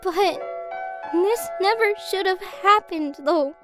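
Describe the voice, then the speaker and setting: Woman voicing a very high, timid, fragile youngster. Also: slow pace, over music. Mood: guilty sorrow. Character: timid, fragile, guilt-ridden youngster, anxious and sincere. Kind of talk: audio drama acting.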